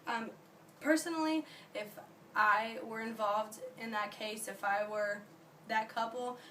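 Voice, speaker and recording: Hoarse young woman giving a class speech. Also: a little high, sharp tone. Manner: earnest, halting, many fillers and restarts. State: hoarse from being sick. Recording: distant mic, faint ambient noise.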